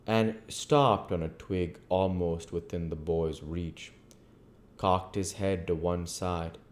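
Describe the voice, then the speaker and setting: Man, very low voice, slow pace, amateur audiobook narration. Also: a little mellow. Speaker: reader of a classic novel.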